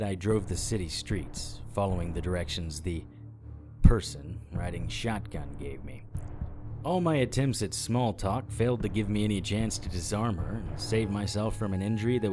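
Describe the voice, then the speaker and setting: An actor playing a wry, calm, hard-boiled private investigator in a noir audio drama, speaking in a measured, deadpan way. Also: male voice, on the low side, close to an everyday voice. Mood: measured narration. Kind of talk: first-person noir audio drama narration. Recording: music behind the voice.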